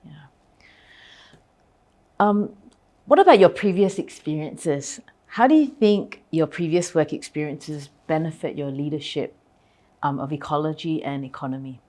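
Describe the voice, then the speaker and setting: A woman's voice: sits low, measured pace, long pauses, dark tone. A woman interviewer asking conversational questions, somewhat hesitant as she searches for her words.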